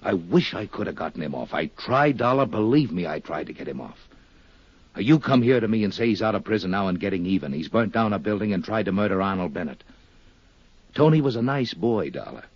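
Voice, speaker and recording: An actor playing a weary, remorseful male lawyer who talks in a heavy, halting, regretful way in a 1950s radio drama. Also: lowish voice, big pitch swings, husky, no echo, exaggerated voice. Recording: dry, dull 1950s radio recording.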